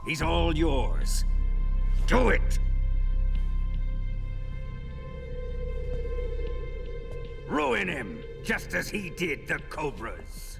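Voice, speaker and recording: An actor playing a ruthless, sadistic middle-aged Soviet colonel in a video game cutscene, commanding, theatrical and taunting. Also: male voice, on the high side, sing-song, takes it slowly, creaky, exaggerated voice. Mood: cruelly deliberate, then savage command. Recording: echoey hall with music behind.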